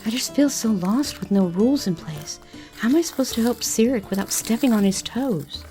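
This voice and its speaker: A woman's voice: fairly high pitch, lively intonation, normal speed, mellow, soft background music, lightly acted. A woman playing an eager, earnest woman unsure of her new freedom in an audio drama, often questioning. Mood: anxious. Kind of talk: amateur audio drama acting.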